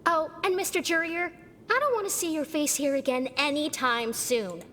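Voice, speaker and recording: A woman's voice: high voice, normal speed, fairly bright tone. A woman voicing a prim, easily irked game character with a formal, lecturing manner. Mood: indignant. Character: prim, sharp-tongued female infirmary patient, easily irked. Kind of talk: video game dialogue. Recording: long reverb, faint background noise.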